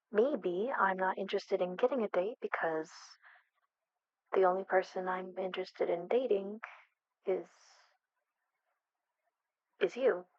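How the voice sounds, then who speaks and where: A woman's voice: lowish voice, no echo, dull audio. A young woman acting in an ASMR roleplay as a flustered best friend hiding a crush, speaking casually with hesitant stammers. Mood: nervous, tender. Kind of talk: ASMR roleplay, one-sided conversational acting.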